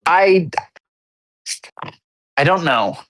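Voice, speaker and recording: A man co-hosting a podcast in casual conversation, halting and full of restarts, with blunt self-deprecating humor. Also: on the high side, lively intonation, a bit bright. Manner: halting, many restarts and fillers. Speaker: podcast co-host.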